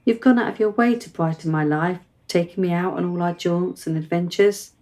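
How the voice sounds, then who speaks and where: A woman's voice: lowish voice, moderate pace, a little mellow, lightly acted. A woman playing a precocious, forthright young girl in a radio play, articulate and insistent in manner. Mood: probing. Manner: articulate, formal wording, insistent.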